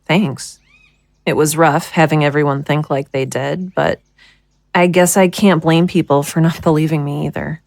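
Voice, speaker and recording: A woman acts as a kind small-town woman in an audio drama. Her voice is slightly low, forgiving in manner, with a very dry sound. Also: fairly even intonation, moderate pace, gravelly, darkish tone, no room sound.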